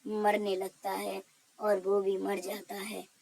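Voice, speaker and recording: A woman's voice: mid-range voice, monotone, slow, vocal fry, darkish tone, a little hiss or hum. A woman storyteller narrating a cartoon tale.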